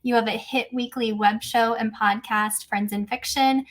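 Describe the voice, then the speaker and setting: A woman's voice: fairly high pitch, steady tone, moderate pace. A woman podcast host putting questions to a guest in an interview.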